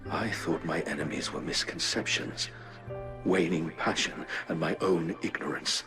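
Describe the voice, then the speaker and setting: Very deep, dying researcher. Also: male voice, measured pace. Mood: grave regret. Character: dying, regretful male researcher leaving final words. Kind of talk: dramatic video game voice acting. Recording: loud music behind the voice.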